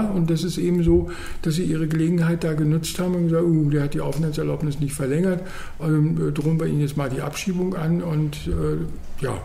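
A man's voice: fairly high pitch, creaky, dark tone, a little hiss or hum. A man in an interview, explaining a situation plainly and emphatically.

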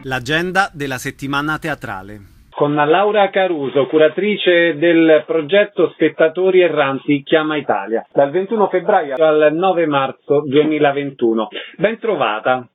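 A man's voice: on the high side, expressive, measured pace, soft background music. A man hosting a radio arts programme, putting questions to guests and reading out announcements. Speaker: radio interviewer and host.

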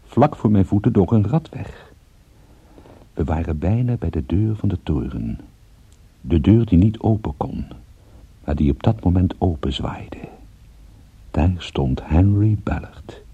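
Low, husky hard-boiled detective. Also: male voice, expressive, unhurried, long pauses, phone-quality sound, full character voice. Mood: dry narration. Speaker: lead character and narrator. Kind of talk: radio drama narration and dialogue.

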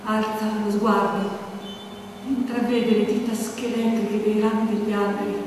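An actress playing a grave storyteller, delivering dramatic stage narration with deliberate, suspenseful pauses. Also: female voice, medium pitch, flat delivery, background noise, natural voice. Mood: grave, tense. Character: grave storyteller recounting a political killing. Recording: distant, very echoey hall, music behind. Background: music.

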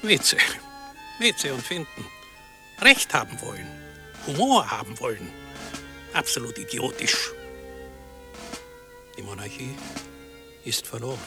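An actor in a German radio drama playing a deluded old asylum inmate, an imperious fallen emperor who barks clipped fragments. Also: male voice, mid-range voice, animated, slow, vocal fry, pauses often, bright, forceful tone, soft background music, full character voice. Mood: indignant, scornful. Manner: clipped, commanding, telegraphic fragments.

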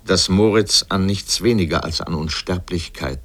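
Male commentator for a radio essay, deep register, dry irony, erudite scripted delivery. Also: normal speed, fairly bright tone.

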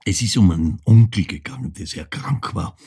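Husky older Austrian man, haunted. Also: on the low side, lively intonation, mellow. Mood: wistful. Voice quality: husky, creaky. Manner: halting, brooding, shifting into whispers. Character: older man from Vorarlberg, haunted, remembering his troubled mother. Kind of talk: theatrical monologue, intimate storytelling.

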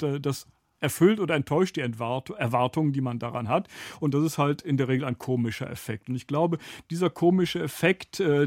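Higher-pitched man, intellectual and dry-sounding. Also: dry recording. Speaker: radio drama critic, studio guest. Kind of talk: explanatory discussion.

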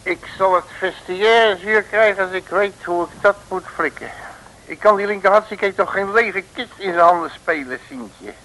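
An actor playing a blustering, muddle-headed detective who grumbles bossily in an old radio comedy serial. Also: male voice, high-pitched, moderate pace, pushed and bright, poor audio. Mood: grumbling. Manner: bossy, colourful grumbling. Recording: vintage mid-century radio recording.

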